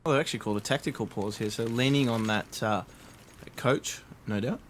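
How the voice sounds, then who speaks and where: A man casting an esports match live, steady play-by-play with frequent fillers. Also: mid-range voice, a little fast, slight background noise. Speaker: esports caster.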